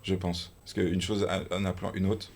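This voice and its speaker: A man's voice: deep voice, level tone, moderate pace, darkish tone. A man in casual podcast chat, joking about himself and swearing freely as he talks food and computers. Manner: loose, swearing, self-mocking. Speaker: guest cook.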